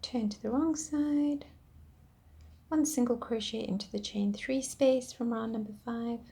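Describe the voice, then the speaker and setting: A woman teaching a crochet pattern step by step, counting stitches aloud, patient and soft, her voice a little worn. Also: a little high, unhurried, vocal fry. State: losing her voice, clearing throat. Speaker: crochet instructor. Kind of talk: step-by-step craft tutorial.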